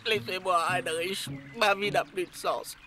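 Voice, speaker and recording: An actor playing a despairing enchanted frog prince in a cartoon, speaking formally and theatrically. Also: male voice, high-pitched, fairly even intonation, a little slow, pushed and bright. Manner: formal, theatrical, self-pitying. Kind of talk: French cartoon dubbing.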